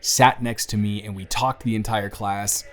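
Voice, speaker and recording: A young man playing an earnest, lovestruck best friend in an intimate audio roleplay, speaking in a halting, conversational way. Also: on the low side, steady tone, lightly acted. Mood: earnest, confessing. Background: background music.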